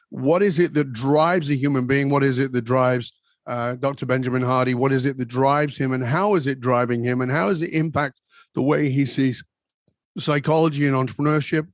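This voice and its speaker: A man hosting a podcast in a conversational, deliberate way, pausing and repeating phrases for emphasis. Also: mid-range voice, no room sound, studio quality. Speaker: podcast host. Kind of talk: conversational podcast introduction and interviewing.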